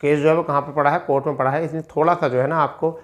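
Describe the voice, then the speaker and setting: A man's voice: fairly high pitch, steady tone, fast, dull audio. A man with an Indian accent giving an informal explainer from an on-screen document, repeating himself and answering his own questions, with English terms mixed in. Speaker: educational video presenter.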